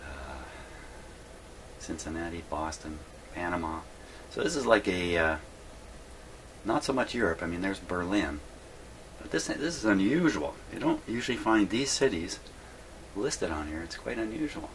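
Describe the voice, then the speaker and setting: A man with a low-pitched voice talks casually through hands-on work in a home workshop. He rambles and muses to himself as he goes, with clear noise behind him on the recording. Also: big pitch swings, normal speed, pauses often, rough recording. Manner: rambling, musing aloud to himself. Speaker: host of a radio repair video. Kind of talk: casual hands-on workbench narration.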